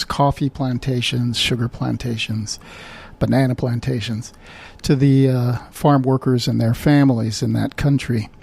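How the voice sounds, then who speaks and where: Man, middle-aged, from Guatemala, doctor guest, halting speech, frequent um and uh. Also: medium pitch, vocal fry, darkish tone. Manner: hesitant, many fillers and restarts. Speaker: physician guest. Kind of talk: conversational interview.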